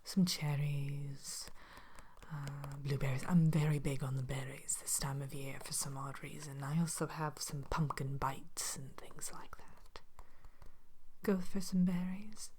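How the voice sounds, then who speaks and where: A woman voicing a politely sinister evil queen who runs a potion shop, in a soft, breathy, hesitant ASMR whisper. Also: low voice, fairly even intonation, slight background noise. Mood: helpful, unhurried. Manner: hesitant, genteel, unhurried, matter-of-fact about dark magic.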